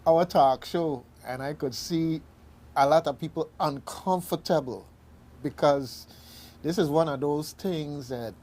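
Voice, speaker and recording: A man hosting casual radio talk, halting and rambling, often repeating his phrases. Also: fairly high pitch, darkish tone. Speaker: radio talk show host. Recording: faint background noise.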